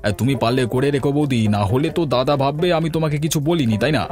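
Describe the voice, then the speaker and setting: A man's voice: medium pitch, a little fast, darkish tone, lightly acted. A man voicing an easygoing village character in a cartoon fairy tale, talking in a colloquial, chatty way. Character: village men: elder headman, easygoing bachelor neighbour. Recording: music under the voice.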